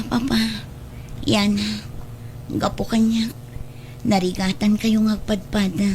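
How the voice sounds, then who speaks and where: A woman's voice: medium pitch, a little mellow, slight background noise, close to an everyday voice. A woman playing an affectionate grown daughter in a radio drama, pleading and coaxing like a playful matchmaker.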